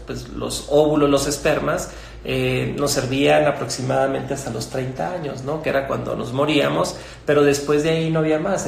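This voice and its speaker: A middle-aged man with a Mexican Spanish accent, a gynecologist explaining things in a measured way with fillers and restarts. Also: medium pitch, vocal fry, slight background noise. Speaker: gynecologist guest. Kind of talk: conversational explanation. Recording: echoey room with faint ambience.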